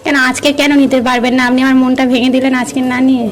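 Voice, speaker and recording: Young woman, high voice, quick talker, teasing prank caller, pushy, music behind. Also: level tone. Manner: insistent, teasing. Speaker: prank caller posing as a parcel courier. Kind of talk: teasing prank phone call. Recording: loud background music. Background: loud music.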